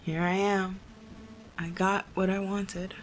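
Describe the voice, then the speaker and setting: An actress playing a bookish, guilt-ridden young woman in an audio drama, halting and hushed as she confesses her doubts. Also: mid-range voice, flat delivery, slight background noise, lightly acted, poor audio. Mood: guilty, torn. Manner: halting, reflective, self-doubting.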